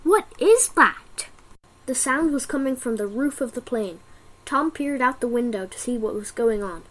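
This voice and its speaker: High-voiced female storyteller. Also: normal speed. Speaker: narrator. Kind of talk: dramatic story narration for amateur radio drama.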